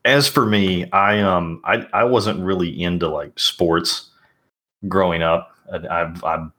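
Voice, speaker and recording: A man's voice: sits low, steady tone, takes it slowly, pushed and bright. A middle-aged man with a Southern American accent co-hosting a podcast, laid-back and wry, swearing freely in casual chat. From Southern United States. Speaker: podcast co-host.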